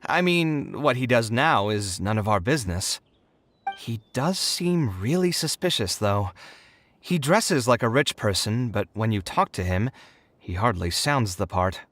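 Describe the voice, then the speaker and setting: An actor playing a frank, articulate, slightly exasperated architect in video game dialogue. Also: male voice, medium pitch, lively intonation, a little slow, a bit bright. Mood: skeptical. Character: adult male architect, frank, weary, slightly exasperated professional.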